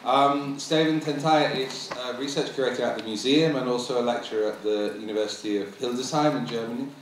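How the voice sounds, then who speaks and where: A middle-aged man introducing a speaker as moderator of a symposium, halting and full of ums and uhs. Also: medium pitch, a little hiss or hum. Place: large museum auditorium. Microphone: stand mic at table. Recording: very echoey hall, mic from a distance.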